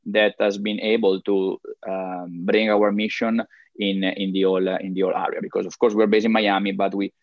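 An Italian-accented man speaking as an interview guest, with a somewhat low voice. He talks haltingly, searching for words. The sound is a little dull and very dry. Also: flat delivery, no echo. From Italy. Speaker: interview guest, chamber of commerce president. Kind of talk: conversational interview answers.

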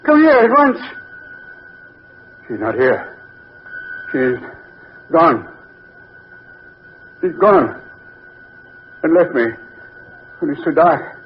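A man's voice: high-pitched, heavily acted. An actor playing a frail, querulous old rich man who mutters and repeats himself in an old-time radio drama. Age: older. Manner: muttering, repetitive, fussy. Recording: muffled, noisy vintage radio drama recording.

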